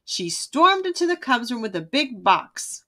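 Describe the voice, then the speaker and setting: A woman narrating a children's storybook aloud in an expressive, emphatic way. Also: a little high, a little slow.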